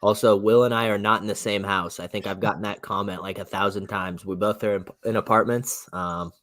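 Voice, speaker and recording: A young man with a raspy voice co-hosting a casual sports betting livestream, laid-back and agreeable. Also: on the low side, fairly even intonation, fairly quick, a bit bright. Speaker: sports betting stream co-host.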